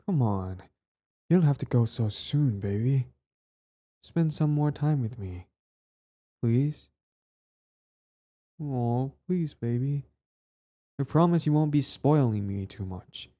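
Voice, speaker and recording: A man's voice: medium pitch, expressive, slow, long pauses, warm tone. A man playing a lovestruck, clingy young bully in intimate romance roleplay audio, stammering, sighing and pleading.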